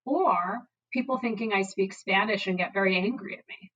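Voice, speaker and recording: A woman's voice: medium pitch, moderate pace, fairly bright tone, dull audio, slight background noise. A woman whose first language is Bengali speaks in an interview with emphatic, passionate conviction. She builds her points with personal examples and pointed questions. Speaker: guest, diversity executive. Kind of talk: podcast interview.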